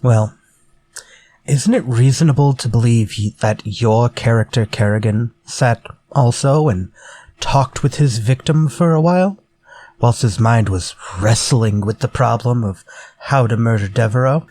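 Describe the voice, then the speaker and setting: A man acting a slow, courtly, menacing murderer. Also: mid-range voice, a little mellow, close to an everyday voice. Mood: politely probing. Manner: courtly, measured, theatrical. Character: menacing murderer from a story, politely coaxing then vengeful. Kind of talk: retro radio drama acting.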